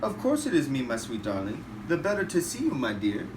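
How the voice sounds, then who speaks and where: A man's voice: medium pitch, wildly swooping pitch, fairly quick. A man voicing a sly, hungry storybook wolf with a coaxing, theatrical delivery. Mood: falsely sweet. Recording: roomy, distant, noisy home recording.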